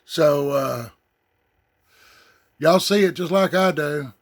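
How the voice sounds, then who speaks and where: A man's voice: fairly high pitch, slow pace. A man giving casual, folksy reaction commentary as a video host. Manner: folksy, interjecting agreement.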